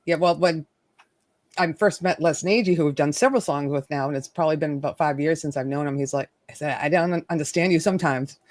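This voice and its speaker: A woman's voice: sits low, fast, a little mellow, no room sound, clean studio sound. A woman hosting a live stream, bubbly and teasing, laughing often as she chats with guests. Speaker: livestream host. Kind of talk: casual live-stream chat.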